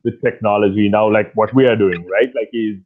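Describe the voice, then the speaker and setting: A man in his thirties from Sri Lanka, a singer talking casually in an interview over a video call, with a rough voice and a relaxed, rambling way of speaking full of fillers. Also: on the low side, brisk. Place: small indoor room at night. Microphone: earphones on a video call. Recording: tinny phone-like call audio.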